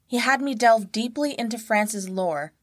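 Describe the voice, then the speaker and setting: A woman's voice: a little high, normal speed, no echo. A woman reading a scripted story as a guest narrator, close to the mic.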